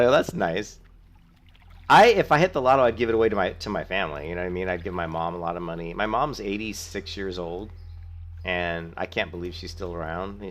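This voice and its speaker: Deep-voiced man with a sore throat. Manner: friendly, rambling, thanks viewers. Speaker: streamer. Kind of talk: casual chat while gaming.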